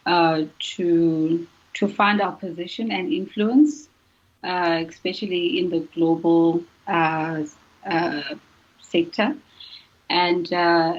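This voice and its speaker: A woman's voice: on the low side, muffled recording. A woman from South Africa, a panel guest, giving hesitant explanatory answers with many fillers.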